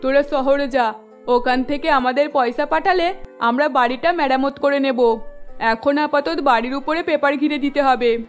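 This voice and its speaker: A woman plays an elderly village mother-in-law in a cartoon story. Her voice is very high, plaintive and dramatic, anxious yet caring and decisive. Music plays underneath. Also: moderate pace, close to an everyday voice. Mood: reassuring, instructing.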